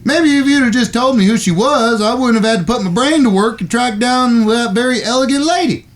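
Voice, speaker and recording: Western audio drama: crude American cowboy sidekick, folksy cussing drawl, quick to grumble. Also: male voice, extremely high pitch. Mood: indignant. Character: crude, hard-drinking old-west gunslinger sidekick, whiskered, grumbling.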